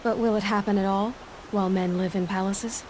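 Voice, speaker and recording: A woman's voice: medium pitch, steady tone, fairly quick, a little mellow, a little hiss or hum, natural voice, poor audio. An actress playing a skeptical, blunt young woman warrior and scout in a video game, speaking directly and with understatement. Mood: questioning, dry. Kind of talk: video game cutscene acting.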